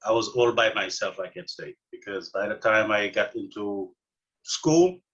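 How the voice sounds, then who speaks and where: A middle-aged man from Senegal with a Senegalese accent, answering interview questions casually with many pauses, fillers and restarts. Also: fairly low pitch, a bit bright, muffled recording. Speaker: interview guest.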